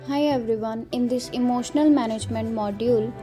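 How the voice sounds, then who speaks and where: A woman's voice: on the high side, a little fast, over music. A woman presenting a class project, listing points with frequent fillers. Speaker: student presenter.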